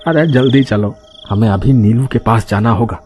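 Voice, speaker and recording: An actor playing a cautious, anxious young husband in a cartoon horror story, protesting with urgency. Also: male voice, medium pitch, normal speed, a little mellow, faint music behind, lightly acted. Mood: apologetic, urgent.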